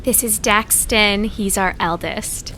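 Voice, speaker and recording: A woman's voice: mid-range voice, takes it slowly, bright, forceful tone, slight background noise. A woman playing an earnest, overprotective young mother, chirpy and preachy, in an audio comedy drama.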